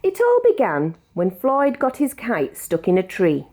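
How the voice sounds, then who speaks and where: A woman with a British accent reading a children's picture book aloud in an expressive, measured storytelling manner. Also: mid-range voice, slight background noise. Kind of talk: read-aloud storybook narration.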